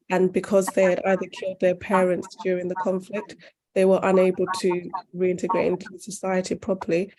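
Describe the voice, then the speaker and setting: A woman in her thirties, from northern Uganda and raised in the UK, speaks earnestly at a forum. Her voice is low and mellow, and her pitch barely moves. She talks in a measured way with small pauses. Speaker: charity founder and guest speaker. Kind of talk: forum talk and answering questions.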